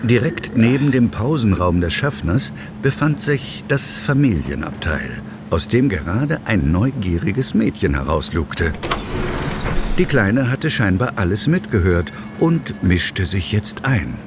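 A man narrating a scripted children's audio play as an expressive storyteller. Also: on the low side, unhurried, noisy background. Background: music, vehicle sounds.

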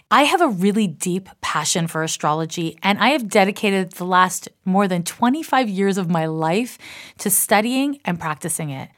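A middle-aged woman from Canada with an accent between Canadian and American, an astrologer talking through a reflective personal monologue in a chatty, deliberately enunciated way. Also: medium pitch. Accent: mix of Canadian and American. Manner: chatty, self-described talker. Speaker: astrologer and author.

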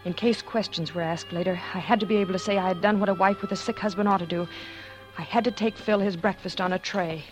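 An actress playing a scheming wife who feigns wan, gentle grief in a 1940s radio suspense drama, with dramatic, faltering phrasing. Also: female voice, mid-range voice, fairly even intonation, quick pace, natural voice, low-quality recording. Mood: guarded, calculating. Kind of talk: 1940s radio suspense acting and first-person narration. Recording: vintage 1940s radio broadcast, music underneath.

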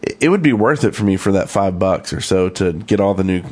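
A man's voice: sits low, lively intonation, brisk, darkish tone. A man speaking casually and with opinions as a co-host on a gaming podcast.

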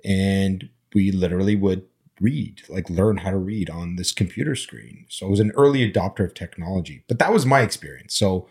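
Middle-aged man whose first languages are Armenian and Arabic, deep husky voice, relaxed reflective talk. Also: a little fast. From immigrant, grew up speaking Armenian and Arabic. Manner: reflective, storytelling, frequent fillers. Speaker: panel guest. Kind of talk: casual conversation.

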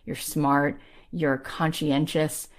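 A woman's voice: sits low, level tone, slow. A woman in her thirties, a podcast host giving an emphatic, rallying motivational talk in a conversational way.

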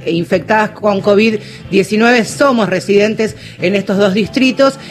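An Argentine woman hosting a radio program, engaged and direct. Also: mid-range voice. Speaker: radio host.

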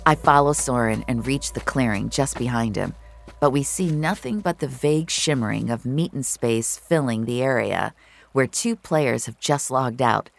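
A woman's voice: rumbling low, moderate pace, gravelly. A woman narrating scripted fiction in the first person, in a confessional, intimate storytelling manner. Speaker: narrator of an audio fiction series.

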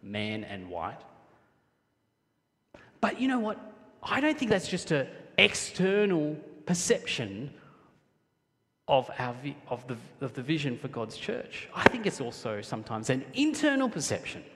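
A man's voice: a little high, sing-song, normal speed, a bit bright, a little hiss or hum. The man you would hear from the pulpit on a Sunday, a pastor from Sri Lanka rising and dipping expressively as his words ring around a big echoing church. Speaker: pastor preaching to his congregation. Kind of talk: sermon. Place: large church hall, speaking to a congregation. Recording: echoey hall with long reverb.

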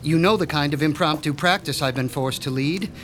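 An actor playing a meek, sinister underground doctor who speaks formally and softly sardonic. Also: male voice, fairly high pitch, brisk, background noise, lightly acted. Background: music score.

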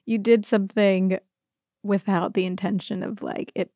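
A woman's voice: medium pitch, level tone, moderate pace, warm tone, no room sound, clean studio sound. A woman co-hosting a podcast in casual chat, asking questions and reacting encouragingly. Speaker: podcast co-host.

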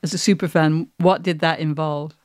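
A woman hosting a conversational podcast interview, speaking in a measured, appreciative way. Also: sits low, steady tone, a little mellow, no room sound, clean studio sound.

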